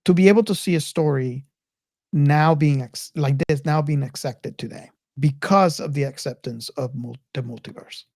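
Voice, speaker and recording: A man's voice: fairly high pitch, moderate pace, a little mellow, no echo. Man, podcast host, casual discussion, rambling delivery, repeated phrases, dry close sound.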